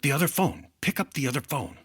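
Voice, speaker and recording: An actor playing a dry, unflappable hard-boiled insurance investigator in clipped, deadpan 1950s radio detective style. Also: male voice, fairly high pitch, fast talker, husky. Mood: terse, impatient. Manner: terse, deadpan.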